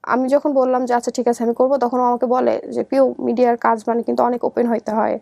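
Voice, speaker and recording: High-pitched young Bangladeshi woman. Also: level tone, fast, creaky, mellow, no room sound. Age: young adult. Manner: conversational, often re-enacts quoted dialogue. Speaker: guest telling her life story. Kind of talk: personal storytelling in an interview.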